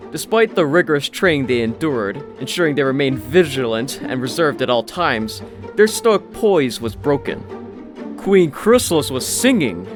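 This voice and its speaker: Male story narrator over music. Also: mid-range voice. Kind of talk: scripted audiobook story narration. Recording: music behind the voice. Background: background music.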